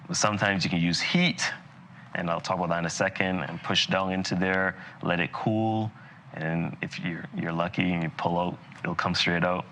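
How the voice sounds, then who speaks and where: Deep-voiced man giving a dental lecture. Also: a little hiss or hum. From Barbados. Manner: relaxed, conversational, many fillers and asides. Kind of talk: live continuing-education lecture.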